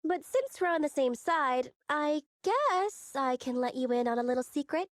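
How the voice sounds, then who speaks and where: A sly, self-assured young boss lady from an anime-style game. Her voice is high and dry, breezy and boastful, with a bossy edge. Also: expressive, moderate pace, no echo. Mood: smug, confident.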